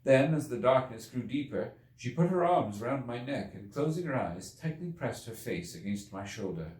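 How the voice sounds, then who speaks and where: A man voicing an earnest Victorian gentleman time traveler recounting his ordeal in a storybook reading, formal, brooding and deliberate. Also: medium pitch, lively intonation, close to an everyday voice. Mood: uneasy, hushed. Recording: echoey room, distant from mic.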